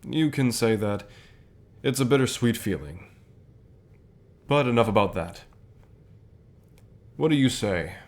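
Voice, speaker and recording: A man playing a calm, encouraging company boss in an ASMR roleplay, speaking in a measured, unhurried way with pauses. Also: mid-range voice. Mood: warm, sincere. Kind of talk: ASMR roleplay, softly acted one-sided dialogue.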